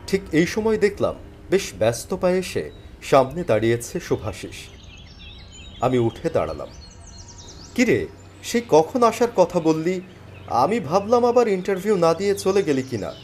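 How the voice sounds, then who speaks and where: A man playing an earnest, dejected young job seeker, telling his own story dramatically in an animated thriller. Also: a little high, moderate pace, pauses often, faint music behind. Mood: anxious, puzzled. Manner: expressive, earnest. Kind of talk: dramatic first-person scripted story narration with dialogue.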